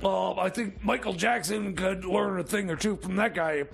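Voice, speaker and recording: A man playing a rambling, stoned, excitable sidekick in improvised comedy radio theater, stammering and repeating himself. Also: high-pitched, normal speed, vocal fry, breathy edge, full character voice. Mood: giddy, boastful. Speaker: co-host character on a comedy radio show.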